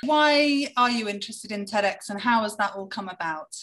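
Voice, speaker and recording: A woman's voice: mid-range voice, animated, moderate pace, a bit bright. A woman hosting an online podcast, introducing her guest in an upbeat, welcoming way. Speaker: podcast host. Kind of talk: scripted podcast introduction and interview. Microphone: remote online call.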